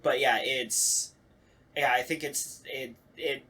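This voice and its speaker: A man's voice: mid-range voice, fairly even intonation, slow pace, sharp tone, breathy edge. Casual man chatting on a podcast. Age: adult. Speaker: voice actor on a podcast talkback. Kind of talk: casual chat.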